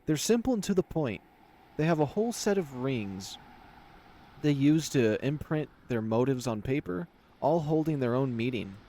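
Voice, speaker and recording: A man's voice: medium pitch, lively intonation, moderate pace, dark tone, natural voice. A man voicing a blunt, knowing animal soldier in a fantasy visual novel, explaining things in an emphatic, wry way.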